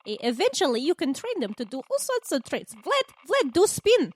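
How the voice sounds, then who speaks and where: A woman's voice: high voice, big pitch swings, normal speed, no echo. A woman playing a big, proud, cheerful teenage girl tech in a tabletop game, with an Eastern European accent and playful broken English. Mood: playful, eager.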